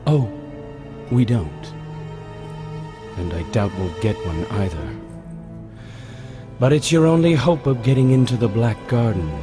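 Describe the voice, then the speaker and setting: An actor playing a haughty royal prince in a video game, speaking in a languid, sardonic and disdainful way. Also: male voice, medium pitch, lively intonation, unhurried, pauses often, over music, natural voice. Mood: mocking amusement. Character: haughty royal prince, dry, bored and mocking. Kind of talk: video game cutscene acting.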